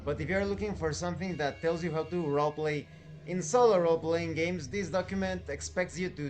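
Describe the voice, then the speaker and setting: Higher-pitched man presenting a game review. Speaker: tabletop game reviewer and channel host. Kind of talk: scripted-sounding review presentation.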